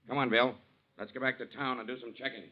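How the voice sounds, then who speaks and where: An actor playing a blunt, skeptical, no-nonsense sheriff in a 1940s radio drama. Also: male voice, mid-range voice, steady tone, over loud music, natural voice. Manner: blunt, dry, deliberate. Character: skeptical, no-nonsense small-town sheriff questioning suspects. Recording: dull old 1940s radio recording.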